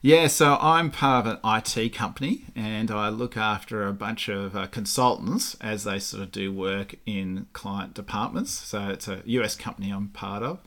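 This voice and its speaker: A man's voice: lowish voice, moderate pace, hardly any pauses. A middle-aged man with an Australian accent, chatting easily as a podcast guest with lots of agreeable fillers. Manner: relaxed, agreeable, many fillers. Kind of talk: casual interview chat.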